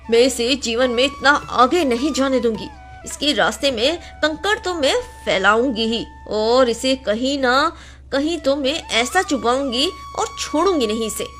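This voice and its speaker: A woman's voice: high voice, a bit bright, heavily acted. A woman voicing a jealous, vengeful village rival in a cartoon moral story, with a spiteful, menacing manner.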